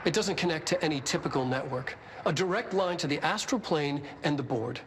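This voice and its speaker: A man playing an earnest scientist in a video game, presenting his theory in a didactic, animated way. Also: fairly high pitch, brisk, background noise, close to an everyday voice. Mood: intrigued.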